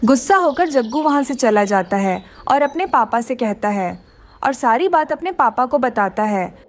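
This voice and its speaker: A woman's voice: fairly high pitch, expressive, moderate pace. A woman narrating a scripted children's cartoon story.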